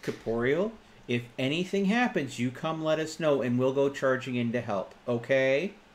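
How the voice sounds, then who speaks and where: A man's voice: medium pitch, normal speed, slight background noise. A man playing a tabletop roleplaying game, talking casually with the other players.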